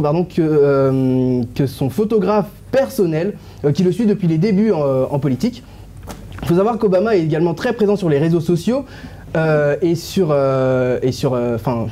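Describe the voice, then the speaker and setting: High-voiced jokey young man columnist. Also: expressive, normal speed, mellow. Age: young adult. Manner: jokey, laughs often, loses his notes and apologizes. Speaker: student columnist on a celebrity news panel. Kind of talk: playful scripted TV column with banter. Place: TV studio panel set.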